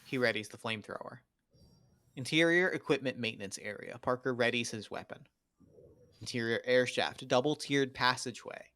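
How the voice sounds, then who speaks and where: A man reads out screenplay stage directions as the narrator of a table read. His pitch sits in the middle of the male range, and the sound is dry, with no room around it. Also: normal speed, sharp tone, no room sound. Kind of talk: scripted narration of screenplay action lines.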